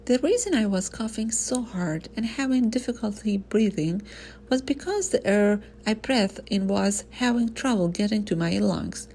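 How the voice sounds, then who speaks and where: A woman reads a children's storybook aloud with lively, swooping intonation. Her mid-pitched voice often drops into a creaky fry. There is a light but noticeable noise in the recording behind her expressive narration. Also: normal speed.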